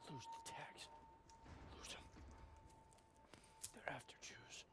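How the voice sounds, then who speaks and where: A man's voice: very high-pitched, wildly swooping pitch, takes it slowly, whispered, full character voice. A man playing a captured American soldier in a war game cutscene, defiant and tense, with an American accent. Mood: urgent, hushed. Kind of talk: video game cutscene acting.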